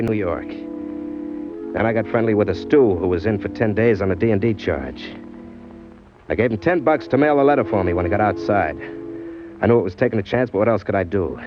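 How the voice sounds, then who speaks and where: An actor playing a streetwise, boastful American ex-convict drifter who narrates in slangy hardboiled style in an old radio crime drama. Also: male voice, on the low side, close to an everyday voice. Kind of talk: old-time radio crime drama acting, first-person narration and dialogue. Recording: dull vintage radio recording, music behind.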